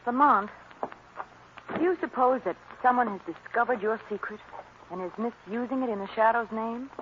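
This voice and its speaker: An actress playing a poised, inquisitive society lady and sleuth's companion in an old radio drama, polite and expressive. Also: fairly high pitch, moderate pace, lo-fi sound, natural voice. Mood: concerned, curious. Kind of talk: 1940s radio acting. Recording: hissy, band-limited old radio recording.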